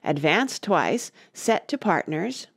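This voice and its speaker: A woman's voice: lowish voice, big pitch swings, takes it slowly, gravelly, dry recording, clean studio sound, heavily acted. A woman voicing a mournful, sentimental old turtle creature in a storybook, with a solemn, earnest, dramatic delivery. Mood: excited. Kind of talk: storybook character voice.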